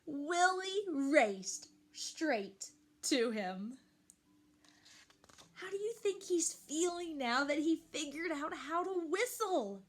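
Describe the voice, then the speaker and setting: A woman reading a picture book aloud to young children, expressively and with questions to the listeners. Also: high voice. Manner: animated, slow and deliberate, addressing young children.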